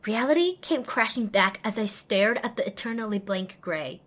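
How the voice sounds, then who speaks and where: A woman's voice: medium pitch, normal speed. A young woman voicing an earnest, self-deprecating young female pony apprentice, narrating an audio drama expressively and wryly. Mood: glum, bored. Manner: expressive, wry. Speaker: lead and first-person narrator.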